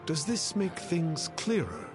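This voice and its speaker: An actor playing a pompous self-proclaimed immortal sage in a video game, speaking in lofty, archaic, theatrical phrases. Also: male voice, fairly high pitch, sing-song, slow pace, over music. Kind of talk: video game character acting.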